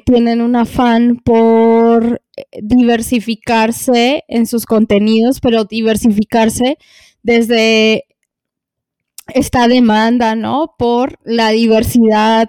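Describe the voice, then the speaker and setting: A woman talks casually on a podcast, pausing and circling back as she looks for the right word. Her voice sits a little high and sounds mellow rather than bright. The recording is close and dry, with no room sound. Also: little pitch movement, smooth, no echo. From lived in Colombia. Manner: hesitant, searching for words, many fillers.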